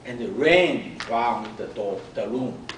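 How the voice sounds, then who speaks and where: A man reading a children's story aloud in a deliberate, expressive way, pausing between phrases. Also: mid-range voice. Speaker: storyteller at a speaking club. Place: club meeting room. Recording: echoey room, distant mic, background noise.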